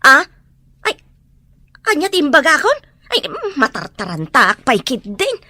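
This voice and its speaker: A woman playing a nosy, excitable household nanny in an Ilocano audio drama. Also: high voice, unhurried, no echo, full character voice. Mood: flustered.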